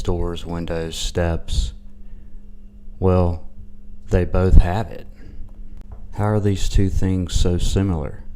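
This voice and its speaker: A man giving casual, unscripted commentary in a musing, halting way, often posing rhetorical questions. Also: sits low, warm tone, slight background noise. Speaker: video narrator. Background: new-age music.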